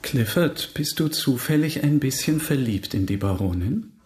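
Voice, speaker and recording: An actor playing a courteous, inquisitive gentleman suitor in a German radio drama, formal and gently probing. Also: medium pitch, expressive, moderate pace, lightly acted. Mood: questioning.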